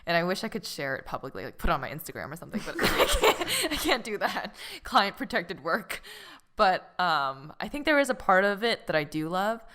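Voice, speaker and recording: A woman's voice: deep voice, a bit bright. A young woman from Boston, co-hosting a casual podcast chat in an easygoing way with frequent laughs. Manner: easygoing, laughs often, many "like" fillers. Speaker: podcast co-host.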